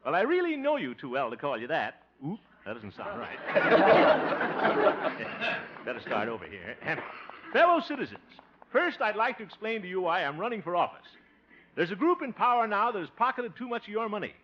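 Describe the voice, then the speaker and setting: An actor playing a good-natured, flustered homemaker host who is running for mayor, in a 1950s radio comedy. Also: male voice, high voice, wildly swooping pitch, normal speed. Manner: chuckling, flustered, rehearsing aloud. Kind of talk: 1950s radio sitcom acting. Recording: dull old 1950s radio broadcast.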